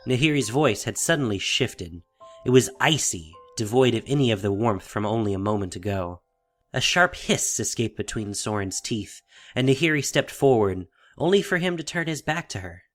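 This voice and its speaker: A man narrating a fantasy audio drama in a deliberate, theatrical storytelling style. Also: mid-range voice, animated, fairly bright tone. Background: music.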